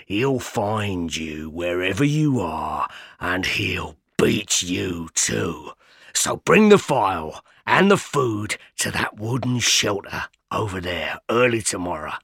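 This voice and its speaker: A man's voice: very animated, vocal fry, a bit bright, breathy edge, no room sound, studio quality, exaggerated voice. A man growling as a menacing escaped convict. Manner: slow, low threatening growl. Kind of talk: audiobook character voice.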